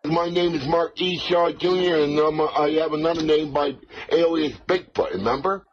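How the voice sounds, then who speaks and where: A man with a husky voice rambling on a call in a halting, repetitive, confrontational way with odd broken phrasing. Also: a little high. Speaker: prank call caller, played through a soundboard. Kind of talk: rambling phone talk. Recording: dull lo-fi soundboard clips.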